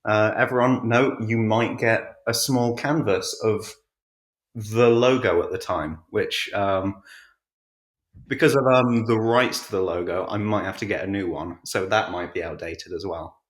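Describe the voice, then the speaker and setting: A man's voice: lowish voice, fairly even intonation, unhurried. A man chatting casually as an interview guest, answering in a hesitant, understated way, recorded with an echoey, roomy sound. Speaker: podcast guest and audiobook narrator.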